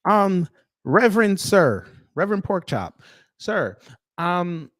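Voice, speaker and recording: A man with an American accent hosting off-the-cuff online commentary in a sarcastic, confrontational manner with pointed pauses. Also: high-pitched, big pitch swings, a bit bright, no room sound, clean studio sound. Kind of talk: off-the-cuff opinion commentary, reading posts aloud.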